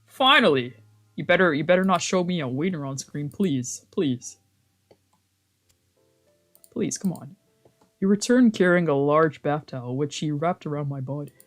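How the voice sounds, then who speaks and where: This is a game streamer with a mid-pitched voice. The delivery moves between casual, slangy chat with some swearing and reading visual novel text aloud. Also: animated, moderate pace, pauses often, fairly bright tone, soft background music.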